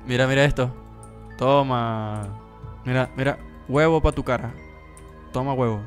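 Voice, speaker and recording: A young man chatting casually with friends while playing a video game, excitable and playfully teasing. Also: mid-range voice, unhurried, faint music behind. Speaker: gaming video host, player. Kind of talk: casual gameplay chat with friends.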